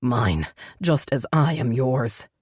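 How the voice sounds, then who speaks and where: Woman voicing a low, possessive vampire lover. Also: expressive, moderate pace, husky, no room sound, studio quality, full character voice. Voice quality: low, growling. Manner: forceful, insistent, swearing. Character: possessive, hot-tempered male vampire lover, intense and stubborn. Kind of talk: dramatic romance audiobook dialogue.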